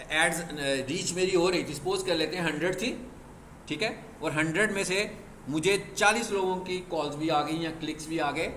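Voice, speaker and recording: A man teaching a classroom lesson in a fairly high voice. He asks rhetorical questions and then answers them himself, and he stays stern about discipline. The room echoes and there is a faint hum behind him. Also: a bit bright. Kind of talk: classroom lecture.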